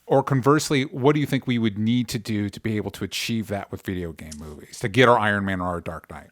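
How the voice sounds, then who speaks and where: A man hosting a podcast in a casual conversational style, phrasing things informally and haltingly. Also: lowish voice, fairly bright tone, no room sound, clean studio sound. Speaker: podcast host.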